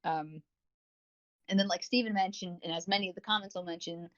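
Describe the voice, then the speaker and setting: A woman's voice: fairly low pitch, steady tone, fairly quick, fairly bright tone, highs cut off. A woman co-hosting a podcast, chatting casually and effusively as she reviews audio dramas. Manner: effusive, informal. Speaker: podcast co-host.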